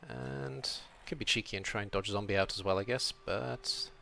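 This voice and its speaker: A man's voice: fairly low pitch, normal speed, vocal fry, a little hiss or hum. Welsh-born British man streaming a game. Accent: British with a slight European tilt. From Wales. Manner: chatty, reads chat aloud, thinks out loud. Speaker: streamer playing a video game. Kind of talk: casual live-stream commentary.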